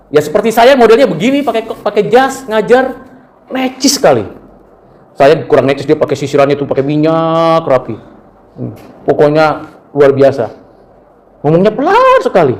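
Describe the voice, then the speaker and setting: A middle-aged Indonesian man preaching a sermon, telling personal stories and acting out the people in them. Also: a little high. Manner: animated storytelling, acts out quoted voices. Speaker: preacher and university lecturer.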